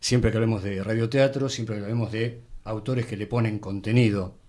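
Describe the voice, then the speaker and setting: An Argentine man with a thick, full voice, an actor reminiscing conversationally as an interview guest in a measured, courteous way. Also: fairly low pitch, steady tone, darkish tone.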